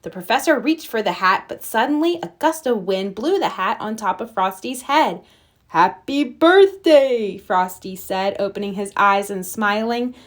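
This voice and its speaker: A woman reading a children's storybook aloud as narrator, with expressive storytelling. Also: medium pitch, moderate pace, hardly any pauses. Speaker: storybook reader.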